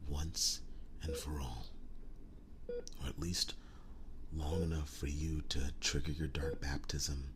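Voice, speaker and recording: Male actor as tender werewolf boyfriend, extremely low breathy voice, slow halting delivery, ASMR roleplay, clearly noisy recording. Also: flat delivery. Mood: determined, grave. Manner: halting, slow, soft-spoken with long pauses. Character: protective, world-weary young male werewolf boyfriend, devoted and tender.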